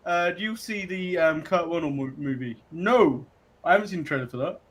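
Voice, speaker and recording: A man's voice: fairly high pitch, fairly quick, a bit bright. A man hosting a casual chat show, rambling and chuckling as he talks. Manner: rambling, chuckling, restarts often. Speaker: podcast host.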